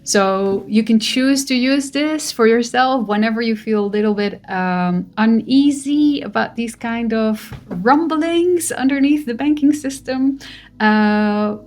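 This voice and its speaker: Slow, gentle woman. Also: a little high. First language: Dutch. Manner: gentle, musing, with soft laughs. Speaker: spiritual vlogger. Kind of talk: reflective personal monologue.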